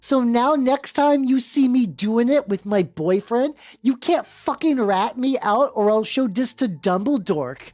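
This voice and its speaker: A woman's voice: on the high side, animated, unhurried, few pauses, a little mellow, voice pushed up. A woman voicing a bratty, foul-mouthed teenage goth girl with a snotty, melodramatic delivery in a comic fanfiction reading. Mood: defiant, angry.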